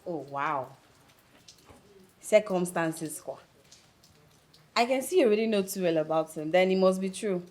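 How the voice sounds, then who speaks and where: A woman's voice: fairly low pitch, animated, unhurried, long pauses, a little mellow. A woman playing a teasing, dramatic young village friend in a film drama, with a Nigerian accent and a theatrical way of talking. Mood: scandalised, teasing. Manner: theatrical, teasing, interjects with local words. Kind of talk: naturalistic film drama dialogue.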